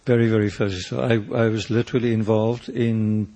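An older man from South Africa whose first language is Afrikaans, with a South African accent, answering interview questions in a thoughtful, halting way with many restarts. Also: fairly low pitch, steady tone, dark tone. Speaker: poet and painter, interview guest.

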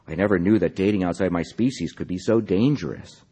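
A man's voice: deep voice, brisk, mellow, slightly rough, long reverb tail. A man voicing a naive, earnest teenage girl from an educational film, with wide-eyed stiffness. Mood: naive surprise.

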